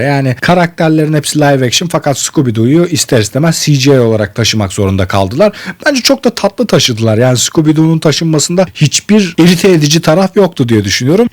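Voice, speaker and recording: A man's voice: on the high side, darkish tone. A middle-aged man giving a casual, opinionated film review in an irreverent, wordy and slangy way. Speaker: host of a retro pop culture show.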